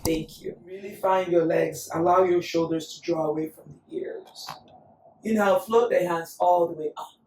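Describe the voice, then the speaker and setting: A woman teaching a yoga class, calmly cueing breath and poses, her voice still recovering after being lost. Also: lowish voice, normal speed, a little hiss or hum. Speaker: yoga instructor. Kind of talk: guided yoga class instruction. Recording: echoey room, voice from a distance.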